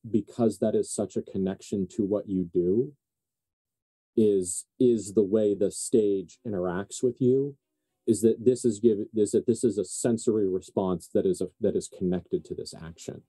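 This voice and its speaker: Mellow, dark-voiced man narrating as game master. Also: lowish voice, steady tone, normal speed, husky. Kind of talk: improvised narration of a tabletop horror game.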